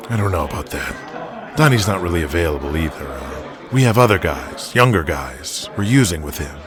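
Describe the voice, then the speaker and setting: A man's voice: sits low, expressive, moderate pace, a crowd in the background. A man playing a shrewd, controlling pro-wrestling promoter in an audio drama, businesslike and authoritative. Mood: dismissive, measured.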